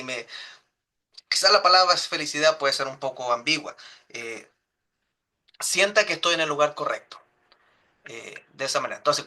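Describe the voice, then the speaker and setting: A pastor leads a church class in a very bright, keen voice that sits a little high for a man. His manner is earnest and pastoral, and he speaks straight to the people in front of him.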